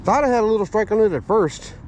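A man giving casual running commentary while fishing at a park pond, talking to an action camera and getting excited over his catches. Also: high voice, fast, low-quality recording. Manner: easygoing, talks to himself and the camera, excited by catches. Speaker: fishing vlogger. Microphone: chest- or head-mounted action camera. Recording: faint outdoor noise.

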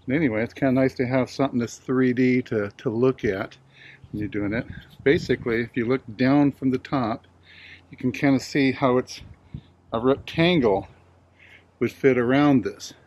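A man's voice: medium pitch, moderate pace, pauses often, darkish tone, highs cut off. Easygoing older man chatting casually. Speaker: hobbyist video host. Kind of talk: casual chat to camera.

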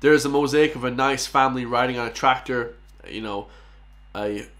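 A man's voice: medium pitch, normal speed, fairly bright tone. A young Irish man chatting casually in a small room as he reacts to a video, often calling out to "guys". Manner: chatty, keeps addressing "guys". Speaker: YouTube reactor.

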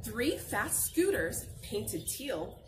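A young adult woman reading a picture book to children in an expressive, encouraging, sing-song way, pausing to ask questions. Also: a little high. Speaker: teacher reading aloud to children. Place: outdoors on a garden patio. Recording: noisy outdoor recording with birdsong.

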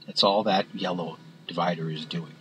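A man with a somewhat low voice talks through a spreadsheet in a plain screen-recorded tutorial. He speaks haltingly, filling gaps with "uh" and restarting phrases. A faint hiss sits under the recording. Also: steady tone, sharp tone, rough recording. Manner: halting, many filler words and restarts. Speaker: instructor. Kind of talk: screen-recorded software walkthrough.

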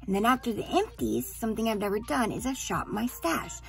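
Hoarse, gravelly chatty woman vlogger. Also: on the high side, big pitch swings, brisk, soft background music. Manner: chatty, rambling, gushing. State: hoarse after surgery. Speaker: beauty vlogger. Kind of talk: casual chat to camera.

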